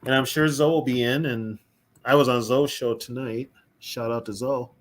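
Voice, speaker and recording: A man's voice: medium pitch, fairly even intonation, normal speed. A man co-hosting a casual live stream, chatty, laughing easily and talking to the viewers in the chat. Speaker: co-host of a fan podcast.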